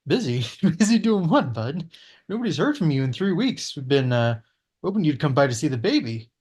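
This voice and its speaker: A man's voice: on the high side, big pitch swings, normal speed, a little mellow, breathy edge. A man reading a pushy, friendly in-law's lines at a casual table read. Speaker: cast member at a table read.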